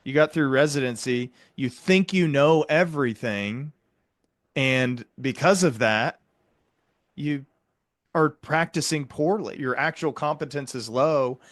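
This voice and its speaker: A man's voice: mid-range voice, unhurried, dry recording. Man explaining in a dry, close sound. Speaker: podcast host; psychiatric doctor. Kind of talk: conversational explaining.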